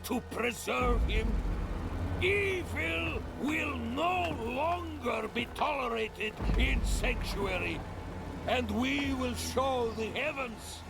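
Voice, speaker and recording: An actor playing a fanatical male church zealot who preaches in a slow, grand cadence, stern and pitiless. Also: very high voice, expressive, gravelly, music in the background, heavily acted. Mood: fervent.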